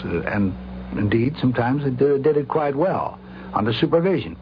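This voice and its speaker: A man giving reflective commentary as a guest doctor, in formal wording with a hesitant, halting delivery, on an old radio recording. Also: medium pitch. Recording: noisy, humming, dull old radio recording.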